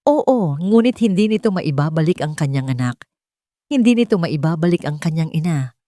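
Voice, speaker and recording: A woman with a Filipino accent narrating a scripted love drama in a measured, dramatic way. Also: deep voice, animated, warm tone, dry recording, studio quality. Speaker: storyteller.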